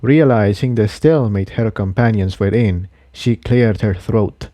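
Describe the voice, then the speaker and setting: Guy reading a fantasy story, slow n measured, soft mellow tone, really dry sound. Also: fairly low pitch, no room sound. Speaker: audiobook reader of fan fiction. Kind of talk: scripted story narration.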